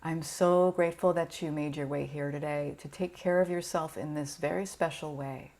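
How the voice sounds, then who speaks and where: A woman teaching yoga nidra, guiding a relaxation meditation in a gentle, soothing, unhurried way with pauses. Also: sits low, fairly even intonation.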